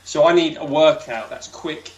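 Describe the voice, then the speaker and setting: Rambling man with a deep voice. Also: moderate pace, dull audio. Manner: rambling, many fillers and restarts. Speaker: podcast guest. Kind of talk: casual interview chat.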